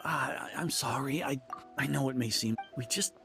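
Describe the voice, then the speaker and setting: Guy doing a creaky low villager, kinda stammery n apologetic, game npc, music under it. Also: moderate pace, natural voice. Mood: hesitant, apologetic. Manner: stammering, unsure. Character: hesitant, apologetic village man. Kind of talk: video game voice acting. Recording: music behind the voice.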